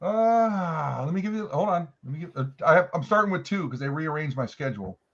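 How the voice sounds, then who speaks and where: A middle-aged man with a high, very lively voice chats in a folksy, emphatic way. He sounds like a casual guest on a video call, with slightly dull lo-fi audio. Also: a little fast. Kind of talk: casual chat.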